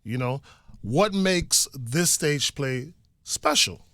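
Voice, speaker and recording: A man's voice: mid-range voice, expressive, takes it slowly, a bit bright, no echo, clean studio sound. A man hosting a casual, upbeat interview. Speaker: radio podcast host interviewing guests.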